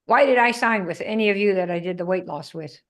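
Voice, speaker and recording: A woman's voice: mid-range voice, fast. A woman from the Netherlands coaching a live group on sales, emphatic and quizzing her audience. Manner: emphatic, asks the audience questions.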